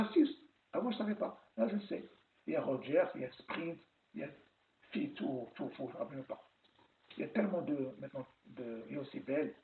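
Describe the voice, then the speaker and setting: A man from France giving casual advice about settling abroad, talking in an animated way and often restarting his sentences. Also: on the high side. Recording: echoey room, phone-like lo-fi sound, faint hiss.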